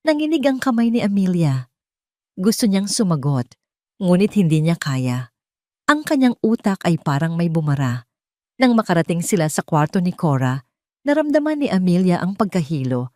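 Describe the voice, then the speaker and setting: Low-pitched woman narrating, very dry sound. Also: very animated, normal speed, a little mellow, dry recording. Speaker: story narrator. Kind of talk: scripted dramatic narration of a romance audio story.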